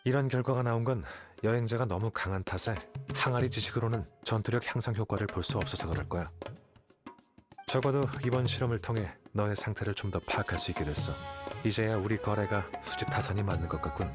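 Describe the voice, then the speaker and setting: Man acting cool, low-voiced aloof young scholar. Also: over music, natural voice. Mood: detached. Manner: detached, matter-of-fact, measured. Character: aloof, calm, calculating young male scholar. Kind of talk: scripted video game dialogue in Korean.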